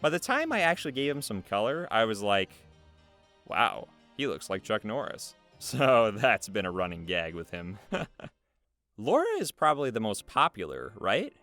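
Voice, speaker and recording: Playful man with very animated, lowish delivery. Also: moderate pace. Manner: jokey, playful, chuckling. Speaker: interviewer reading out questions and answers. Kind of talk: casual scripted interview narration. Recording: music behind the voice. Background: video game music.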